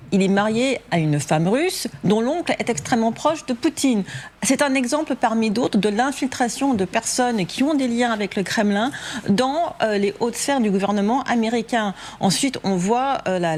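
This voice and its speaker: A woman's voice: medium pitch, darkish tone, a little hiss or hum. Insistent woman arguing as a debate guest. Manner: insistent, builds argument point by point. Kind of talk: argumentative panel analysis.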